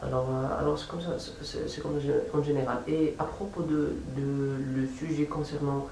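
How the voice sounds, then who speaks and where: Halting non-native man, echoey and distant. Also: on the high side, little pitch movement, darkish tone. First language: not French or English. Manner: halting, repeats words while searching for phrasing. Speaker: amateur language-learning vlogger. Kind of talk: casual unscripted vlog talk. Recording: echoey room, distant mic, faint hiss.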